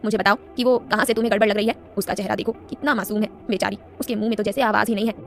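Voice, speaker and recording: A woman's voice: mid-range voice, steady tone, very fast, soft background music, natural voice. A woman voicing an older, motherly nanny in an audio story, fondly scolding with an exasperated, protective manner. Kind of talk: dramatised audio story dialogue.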